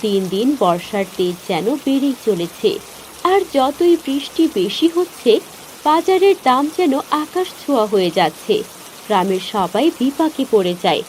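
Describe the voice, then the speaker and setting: A woman's voice: on the high side, sing-song, moderate pace, a little mellow, a little hiss or hum, poor audio. A woman with a Bengali accent reading scripted narration for a cartoon story.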